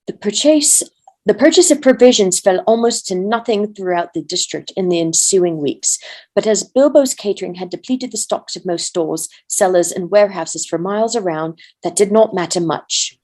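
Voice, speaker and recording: A woman reading a fantasy story aloud as a volunteer, in measured narration. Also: mid-range voice, a little mellow. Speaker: volunteer reader.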